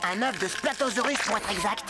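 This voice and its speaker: A woman's voice: medium pitch, fairly quick, lightly acted. A woman voicing a confident young dodo inventor in a cartoon dub, eager, assured and always explaining. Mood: insistent. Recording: loud music behind the voice.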